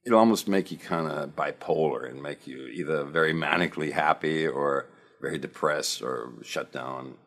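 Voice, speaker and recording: Man, 50s, slight German accent, extremely low voice, earnest self-help talker. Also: moderate pace, fairly bright tone. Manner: reflective, earnest storytelling. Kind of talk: personal monologue to camera.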